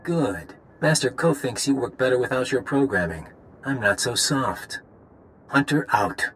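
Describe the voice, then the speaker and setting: A man's voice: medium pitch, lively intonation, unhurried, fairly bright tone, slight background noise. A man playing a smug, taunting intelligence handler in a video game, mocking and commanding. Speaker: game character voice actor. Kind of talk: video game dialogue acting.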